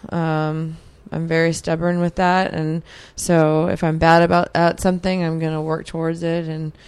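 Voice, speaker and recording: A woman's voice: low voice, little pitch movement, moderate pace, a little mellow, clear, resonant tone. A woman in her mid-thirties, a guest answering interview questions in a reflective, earnest way with many fillers. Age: 30s. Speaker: podcast guest, athlete.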